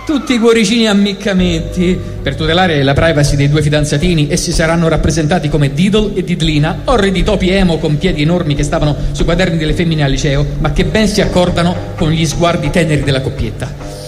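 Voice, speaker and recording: A man's voice: fairly high pitch, fast talker, big-room sound, music in the background. A man with a Roman accent performing a sarcastic, profane, mock-epic comic monologue in the first person. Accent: Italian, Roman. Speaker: storyteller.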